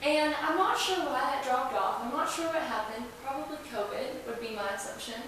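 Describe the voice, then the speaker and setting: High-voiced woman in a very echoey room. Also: animated, a little fast, fairly bright tone. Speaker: interview guest, museum representative. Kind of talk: casual interview talk. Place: indoors in an old museum building. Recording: very echoey room, distant mic, hiss.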